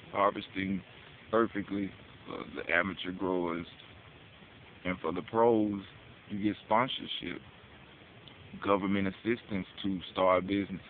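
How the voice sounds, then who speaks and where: American man, low pitch, informal advocacy speech, slangy and sweary, rhetorical questions, thin phone-like sound. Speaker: speaker giving a public address. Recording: tinny phone-like audio with hiss.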